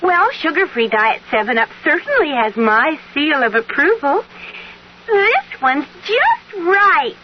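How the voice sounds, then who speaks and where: A woman's voice: sits high, very animated, takes it slowly, exaggerated voice. An actress playing a prim, perky fairy-tale young lady chatting cutely in a 1970s radio commercial. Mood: perky, playful. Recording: muffled vintage radio, faint noise.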